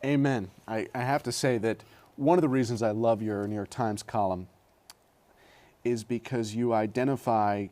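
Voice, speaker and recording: Man, interview host, low voice, measured, deliberate, some hesitations. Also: steady tone, darkish tone. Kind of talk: interview questions.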